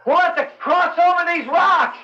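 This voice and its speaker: An actor in an old-time radio drama playing an excitable, defensive man lost in the wilderness, tense in manner. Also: very high-pitched, normal speed. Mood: amazed, excited. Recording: muffled vintage radio drama recording, faint noise.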